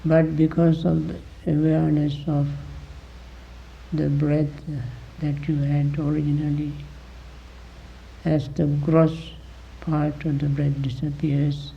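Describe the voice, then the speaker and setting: Slow, gravelly man teaching meditation. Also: a little high, completely flat delivery, highs cut off, a little hiss or hum. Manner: deliberate, instructive, with long pauses between short phrases. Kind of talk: guided meditation instruction.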